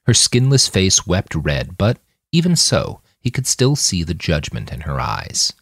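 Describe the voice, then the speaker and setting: A man's voice: deep voice, huge pitch swings, a little slow, vocal fry, fairly bright tone, husky, no echo, clean studio sound. A man reading scripted fiction as a dramatic audiobook narrator, acting out the dialogue. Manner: dramatic, acts out character dialogue. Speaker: author reading his fiction podcast.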